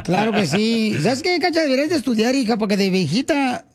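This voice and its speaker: High-voiced teasing Mexican man. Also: lively intonation, brisk, no room sound. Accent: Mexican Spanish. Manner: teasing, playful, exclamatory. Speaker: radio show host. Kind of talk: joking radio chat.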